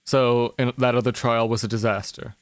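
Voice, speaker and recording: A man playing a smooth, cocky middle-aged businessman with a breezy, confident way of talking. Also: medium pitch, dry recording, lightly acted. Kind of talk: fan livedub of a visual novel.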